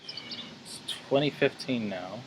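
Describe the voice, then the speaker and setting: A man in his twenties answering interview questions in a hesitant, measured way with fillers. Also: mid-range voice, background noise. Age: 20s. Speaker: interview guest.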